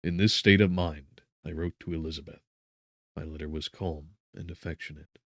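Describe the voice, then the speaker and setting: A man narrating a gothic novel as an audiobook, solemn and theatrical, with measured phrasing and emphatic swells. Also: extremely low pitch, steady tone, fairly bright tone, dry recording, studio quality.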